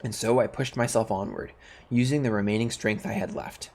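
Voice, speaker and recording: A man's voice: on the low side, steady tone, brisk, a little mellow. A man who hosts gaming videos, reading story text aloud and commenting casually.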